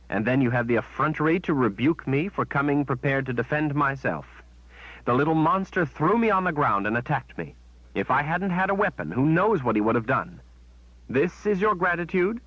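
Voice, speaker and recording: A man's voice: on the high side, no room sound, voice pushed up. A man voicing a defiant young woman who speaks in an indignant, accusing manner. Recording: dull, dry sound.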